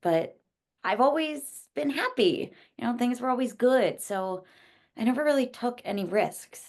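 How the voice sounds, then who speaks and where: Woman playing an earnest, expressive, creaky-voiced young woman. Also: fairly high pitch, unhurried. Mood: hesitant, earnest. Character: earnest, hesitant young woman on a secret mission. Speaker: actor at a script table read. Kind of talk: naturalistic screenplay reading.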